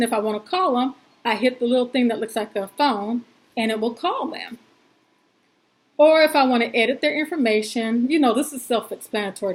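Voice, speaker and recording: A woman's voice: fairly high pitch, low-quality recording. A woman presenting an informal step-by-step tutorial in a chatty, folksy and reassuring way, using plain everyday words.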